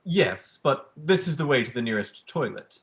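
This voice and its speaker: Man playing a polite, hesitant gentleman visitor, animated pitch. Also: moderate pace. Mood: cagey, awkward. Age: young adult. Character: male visitor, polite, cagey flamboyant academic type. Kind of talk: staged play reading.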